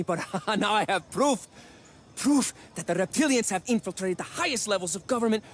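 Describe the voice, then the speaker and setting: An actor playing an excitable, earnest conspiracy nut sidekick in a video game, dramatic and insistent. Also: male voice, sits high. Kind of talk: video game cutscene acting.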